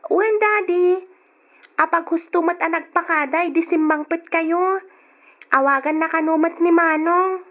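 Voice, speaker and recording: A woman's voice: very high-pitched, unhurried. A woman playing a polite, dutiful young daughter in an Ilocano radio drama. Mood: obedient, sweet. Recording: muffled old radio drama recording.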